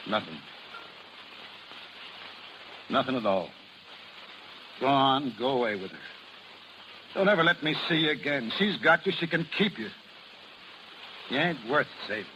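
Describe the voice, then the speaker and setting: An actor playing a bitter, hot-tempered middle-aged carnival barker and father in a 1930s radio drama, forceful and slangy. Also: mid-range voice, very animated, normal speed, long pauses, natural voice. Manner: rough slangy speech, forceful. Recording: noisy old 1930s radio recording.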